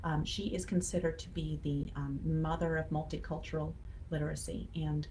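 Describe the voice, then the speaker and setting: A woman's voice: low voice, level tone, moderate pace, a little mellow, poor audio. A woman giving a casual, encouraging educational talk to parents, conversational with emphatic stresses on key words. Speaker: children's literacy educator and blog host. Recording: clear background noise.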